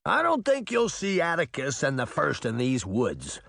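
A man's voice: a little high, wildly swooping pitch, moderate pace, creaky, a bit bright, dry recording, heavily acted. A man voicing a blunt, earnest guardian spirit in an audiobook, giving emphatic, grim warnings. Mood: cautioning. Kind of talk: audiobook character voice.

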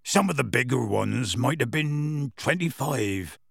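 A huge, gruff half-giant gamekeeper tells his tale. The voice is husky and rough, swinging freely in pitch, with folksy, unhurried phrasing. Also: lively intonation, a bit bright, no echo, studio quality, exaggerated voice. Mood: matter-of-fact. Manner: folksy, ungrammatical, unhurried storytelling. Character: huge gruff half-giant gamekeeper, rustic, warm-hearted, plain-spoken. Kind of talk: audiobook character voice.

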